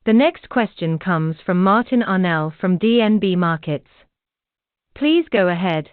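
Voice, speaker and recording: A woman's voice: lowish voice, lively intonation, a little slow, clear, resonant tone, no echo. A woman working as a conference call operator, making formal scripted announcements. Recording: dry, dull band-limited phone line.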